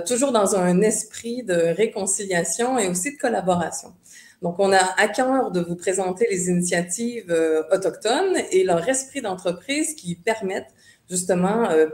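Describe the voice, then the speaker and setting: A woman from Montreal with a clear Québécois French accent gives a tourism presentation in an online webinar. She speaks in a friendly way, with fillers and some repeated words. Her voice comes through a computer mic with a noticeably echoey room sound. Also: mid-range voice, a little mellow. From Canada, Montreal. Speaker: tourism board representative. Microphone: computer mic on a video call. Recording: echoey online call audio.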